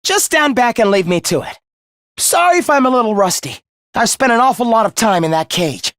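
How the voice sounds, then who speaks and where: A man's voice: very high voice, big pitch swings, brisk, a bit bright, dry recording, clean studio sound, heavily acted. A man playing a young video game fighter who is eerily polite and fervent, speaking in theatrical, formal phrases of morbid devotion. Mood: sheepish, edgy. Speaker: voice actor. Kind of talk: dramatic video game character acting.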